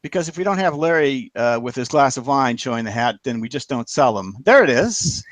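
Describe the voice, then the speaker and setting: A man hosting a web show, wrapping up with halting, filler-heavy announcements and friendly thanks to panelists and viewers. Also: mid-range voice, animated, no room sound. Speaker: show host.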